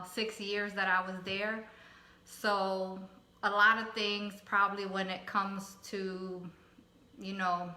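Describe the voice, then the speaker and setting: A woman in her forties, raised in Connecticut with Spanish as her first language, chatting casually as a co-host in an unhurried, thoughtful way. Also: mid-range voice. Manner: soft-spoken, unhurried, thoughtful with frequent fillers. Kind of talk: casual personal talk. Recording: echoey room.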